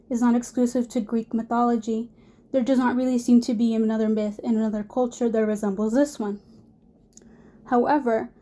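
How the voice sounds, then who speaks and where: Hoarse young woman giving a class talk. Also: a little high, mellow. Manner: reads prepared notes with small restarts. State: hoarse from a cold. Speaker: student presenter. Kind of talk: class presentation.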